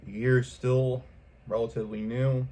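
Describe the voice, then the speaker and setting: A man's voice: medium pitch, level tone, unhurried, mellow. A man speaking casually and unscripted to his viewers in a vlog, rambling earnestly and repeating himself for emphasis. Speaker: video creator speaking to viewers. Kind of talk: casual unscripted vlog monologue, pep talk.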